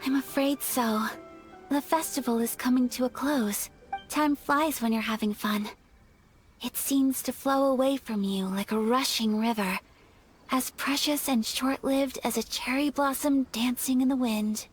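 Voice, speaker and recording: A woman playing a refined, sincere young noblewoman in a video game, speaking politely and gently. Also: sits high, a little slow, breathy edge. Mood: wistful. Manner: polite, soft-spoken, poetic. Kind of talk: video game character acting. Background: faint music.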